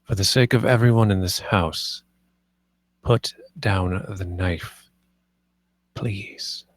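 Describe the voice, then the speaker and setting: Stern lord acted by a man, very deep, unhurried, authoritative, grave, dramatic table read. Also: dry recording. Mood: stern authority. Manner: deliberate, authoritative. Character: grave, commanding lord and master of a household.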